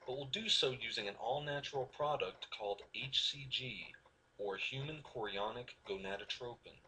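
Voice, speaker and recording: Male narrator, bright forceful tone, measured persuasive explainer read, faint noise behind. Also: mid-range voice, poor audio. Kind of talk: scripted promotional explainer narration.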